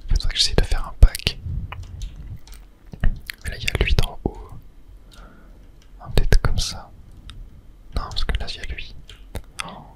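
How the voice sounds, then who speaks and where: A gamer giving softly whispered ASMR commentary on a video game, with small clicky interjections. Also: measured pace, long pauses. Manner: soft unvoiced whispering with small mouth-sound interjections. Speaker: gaming ASMR creator.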